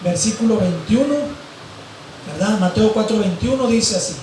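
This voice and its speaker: A hoarse man from Mazatlán, preaching a sermon and often stopping with "eh" and "¿verdad?". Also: sits high, moderate pace, darkish tone, slight background noise. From Mexico, grew up in Mazatlán. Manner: rambling, fills with "eh" and "¿verdad?". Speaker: pastor. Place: large echoey church hall. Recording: very echoey hall from far off.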